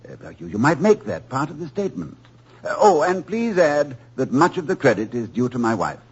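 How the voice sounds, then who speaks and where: A fussy, chuckly college president. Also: male voice, medium pitch, big pitch swings, fairly quick, breathy edge. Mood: gracious. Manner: hesitant, stammering, chuckling. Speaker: actor in a radio drama. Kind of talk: 1940s radio acting. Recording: muffled old radio broadcast.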